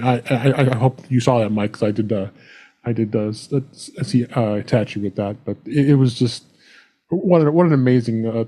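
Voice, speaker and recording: Mellow-voiced man, halting and enthusiastic. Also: mid-range voice, dry recording. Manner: enthusiastic, halting with many restarts. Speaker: conference attendee, panel guest. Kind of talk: casual panel chat.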